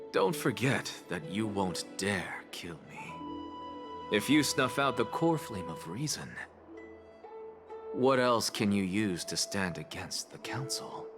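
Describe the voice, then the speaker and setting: An actor playing a conceited, defiant scholar in a video game, with a haughty, theatrical delivery. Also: male voice, fairly low pitch, lively intonation, slow pace. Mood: taunting. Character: conceited, defiant male scholar performer, icily superior. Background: background music.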